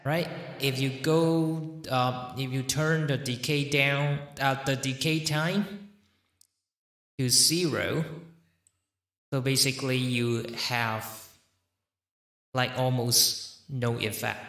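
A middle-aged man thinking aloud haltingly in a casual software demonstration, his voice run through audio effects. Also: steady tone. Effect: reverb and pitch-shift plugins on his voice. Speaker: host testing audio plugins. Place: indoor desk setup.

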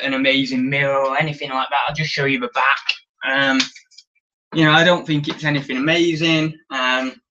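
A man's voice: medium pitch, sharp tone, dull audio, a little hiss or hum. A young man on a casual live stream, showing auction finds and reading the chat, rambling with plenty of ums and restarts, recorded on a camera in a small room. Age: young adult. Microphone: camera on tripod.

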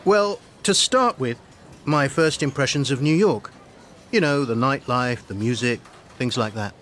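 A man reading a scripted coursebook dialogue as a visiting journalist, clear and friendly. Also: medium pitch, a little slow, a bit bright, slight background noise, close to an everyday voice. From England.

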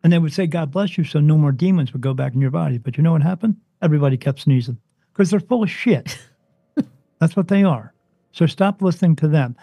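A man from New Jersey, a spirit medium interviewed as a guest, talking emphatically and insistently, his voice soothing and deep. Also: a little fast, dark tone, breathy edge, no echo. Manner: emphatic, insistent, swings up in pitch when stressing points. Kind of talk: interview, impassioned preaching monologue.

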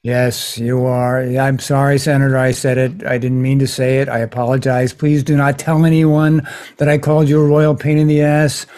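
A man's voice: medium pitch, little pitch movement, normal speed, darkish tone, lightly acted. A man playing a blustering, exasperated old-school senator who grudgingly apologizes, in improvised comedy.

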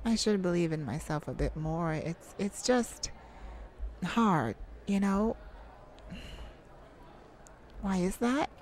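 A woman voicing a bashful, flirtatious male lounge singer in a soft, hesitant, intimate ASMR roleplay. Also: on the low side, animated, slow pace, pauses often, a little hiss or hum. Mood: shy, doubtful.

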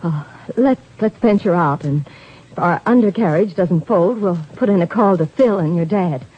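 A woman's voice: fairly low pitch, animated, moderate pace, natural voice. A woman acting a nervous, guarded young woman in a 1950s radio drama. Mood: earnest. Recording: muffled, noisy 1950s radio recording.

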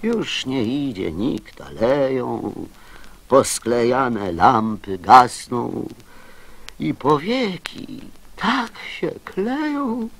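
A man reciting children's verse in a theatrical, deliberate manner. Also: medium pitch, sing-song, creaky, a bit bright, breathy edge.

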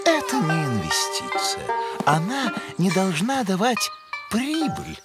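A man voicing a pompous male elk scientist who lectures his friends in a lofty tone, over loud cartoon music. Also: high-pitched, wildly swooping pitch, takes it slowly, heavily acted.

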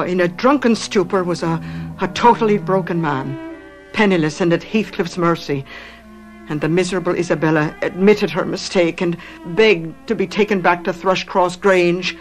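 An actress playing a loyal, outspoken housekeeper who narrates earnestly and dramatically in a 1970s radio drama. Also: female voice, on the low side, lightly acted. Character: loyal, outspoken housekeeper telling a tragic tale. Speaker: narrator and character.

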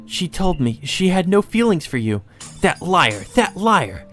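A young noblewoman from a visual novel, acted out by a man in a voice pushed up high. She is jealous and distraught, stammering and wailing her lines with exclamatory force. Music plays behind her. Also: very animated, unhurried, fairly bright tone, heavily acted. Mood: furious. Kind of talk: visual novel character read aloud by a player.

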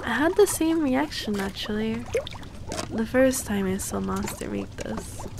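Woman doing a cute young alchemist girl for asmr roleplay, high soft voice, gentle n teasing, liquid pouring and noise behind. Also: animated, gravelly. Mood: reassuring, playful. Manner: soft, soothing, unhurried, playfully reassuring.

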